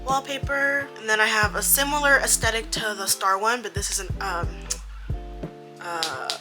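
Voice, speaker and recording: A woman's voice: mid-range voice, moderate pace, music in the background. A young woman whose first language is French, talking casually through a phone tour with lots of likes and fillers. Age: young adult. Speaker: YouTuber.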